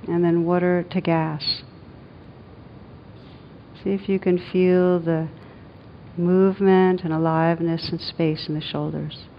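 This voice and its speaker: A woman meditation teacher leading a guided meditation in a gentle, soothing, unhurried manner with long pauses. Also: level tone. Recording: dull lo-fi sound, rumbling background noise.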